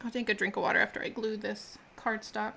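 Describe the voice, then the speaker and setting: A woman's voice: mid-range voice, flat delivery, quick pace, gravelly, bright, forceful tone, a little hiss or hum. A woman chatting casually while she builds miniatures on a live stream, laughing often and talking with many fillers and restarts. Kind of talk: casual chat while crafting.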